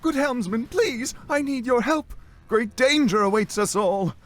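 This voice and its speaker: A man's voice: very high-pitched, expressive, unhurried, fairly bright tone, voice pushed up, exaggerated voice. A man voicing a frightened, desperate young deckhand boy who pleads in archaic nautical language. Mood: urgent pleading.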